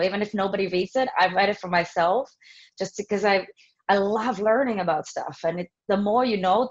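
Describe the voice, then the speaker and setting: A woman in her thirties from Finland, answering interview questions casually, with many pauses, ums and restarts. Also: mid-range voice, dull audio. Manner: hesitant, many ums and restarts, laughs often. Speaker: fitness trainer interviewee.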